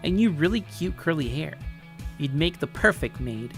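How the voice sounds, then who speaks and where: A man's voice: fairly high pitch, expressive, normal speed, a bit bright, faint music behind, pitched above the performer's own voice. A man voicing a tall, confident tomboy girl who teases playfully in story dialogue. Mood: playful teasing. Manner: breezy, teasing.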